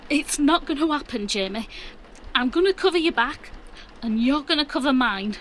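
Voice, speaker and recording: A plucky, wry British mum in a zombie audio drama , acted by a woman. Her pitch is higher than most and moves about a lot, with colloquial, dry-humoured phrasing. Faint noise sits behind her. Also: lively intonation, moderate pace. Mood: affectionate, determined. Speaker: actor.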